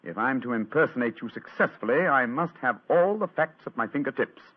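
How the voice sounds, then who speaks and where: An actor playing a confident English gentleman detective, precise and formal, in an old radio drama. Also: medium pitch, animated, breathy edge. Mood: confident, purposeful. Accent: British. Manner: precise, formal, quick-witted. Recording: muffled, band-limited old radio recording.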